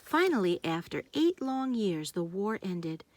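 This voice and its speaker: A woman narrating a children's storybook, reading aloud in simple, deliberate phrases meant for young listeners. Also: mid-range voice, very animated, darkish tone.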